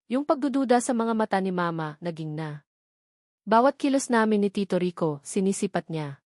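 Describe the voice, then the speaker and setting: A woman's voice: medium pitch, lively intonation, normal speed, darkish tone, no echo. Woman narrating a drama, dry close sound. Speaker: story narrator. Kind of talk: scripted first-person dramatic narration.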